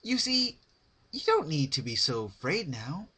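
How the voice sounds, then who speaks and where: A man's voice: on the high side, sing-song, a little slow, bright, forceful tone, slightly rough, pitched above the performer's own voice, heavily acted. A man voicing a cheery, cruel female party pony with a sugary, taunting delivery in a fan-fiction dramatic reading. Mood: mock reassuring.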